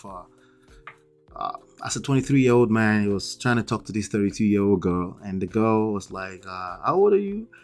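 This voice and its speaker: A man with a thick Nigerian accent hosts a live stream, making emphatic, lecturing points and often checking in with "okay?" and "right?". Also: on the low side. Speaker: livestream host.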